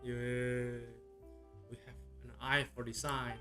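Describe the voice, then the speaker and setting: A woman's voice: a bass voice, flat delivery, slow, a bit bright. A woman with an Indonesian accent casually reacting to a game on a livestream, muttering and echoing lines. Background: game music.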